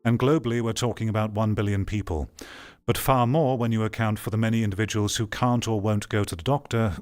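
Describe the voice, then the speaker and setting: A man's voice: lowish voice, fairly even intonation, fairly quick, darkish tone. A man giving opinionated commentary on an article, reading parts aloud and reacting in a blunt, dismissive, argumentative way. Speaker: video commentator.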